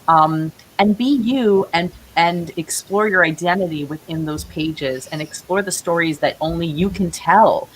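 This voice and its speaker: Low-voiced woman, earnest, on a hissy recording. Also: unhurried, few pauses. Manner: earnest, encouraging. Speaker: interview guest, playwright. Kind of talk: casual remote interview talk. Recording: hissy, noisy remote call audio.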